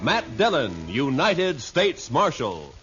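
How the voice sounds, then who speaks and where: Old timey western radio announcer, big dramatic swings, loud music all over it. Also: male voice, medium pitch, huge pitch swings, slow pace, lo-fi sound. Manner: dramatic, declamatory.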